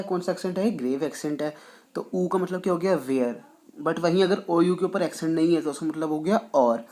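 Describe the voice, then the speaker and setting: A man teaching a French lesson, patiently repeating each point and reading rules slowly. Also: fairly high pitch. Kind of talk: explaining a language lesson, mixing in English.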